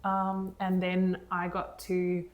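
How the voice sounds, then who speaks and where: A woman's voice: on the low side, completely flat delivery, takes it slowly, highs cut off. A young woman co-hosting a knitting podcast, talking enthusiastically and rambling with lots of restarts. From Australia. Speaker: podcast co-host. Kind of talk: casual chat.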